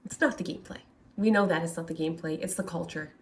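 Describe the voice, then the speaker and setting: Woman w a lowish voice talking through a story, chatty, kinda wry w little asides. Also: quick pace. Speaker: commentator presenting a video. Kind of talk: conversational commentary to camera.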